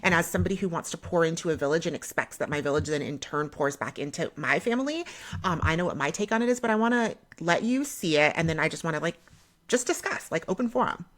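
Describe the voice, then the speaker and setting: A woman's voice: deep voice, animated, fast. A young American woman hosting a podcast in casual conversation, chatty and explanatory, often checking in with the listener. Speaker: podcast host.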